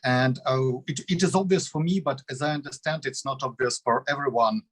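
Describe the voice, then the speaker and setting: A man's voice: medium pitch, a little fast. A man from Ukraine, a native Russian speaker with a Ukrainian accent, giving spontaneous panel remarks, searching for words. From Odessa, Ukraine. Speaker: guest panelist.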